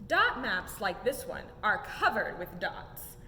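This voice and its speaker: A young adult woman reads a rhyming children's picture book aloud in an expressive, sing-song way with emphatic swoops. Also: slow pace. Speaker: story time reader at a museum. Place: indoor museum exhibit room. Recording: echoey room with low rumbling noise.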